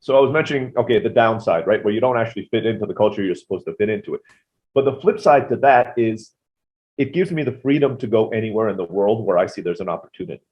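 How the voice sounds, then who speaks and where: A man with an American accent, a podcast guest telling stories casually with lots of quick asides. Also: fairly low pitch, expressive. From Philippines-born, raised in Turkey. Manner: rapid, chatty storyteller with asides. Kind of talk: casual interview storytelling. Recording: dull lo-fi audio.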